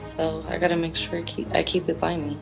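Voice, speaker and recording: A woman with a low, gravelly voice speaks in a plain, sorrowful way about personal experience. Soft music sits behind her. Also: level tone, fairly quick, muffled recording. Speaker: bereaved mother. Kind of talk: emotional personal account.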